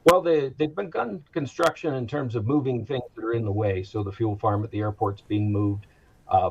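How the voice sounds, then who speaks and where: An American man speaking as an expert guest in an interview, explaining things in a measured, matter-of-fact way. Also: on the low side, darkish tone, muffled recording. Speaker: aviation executive, interview guest.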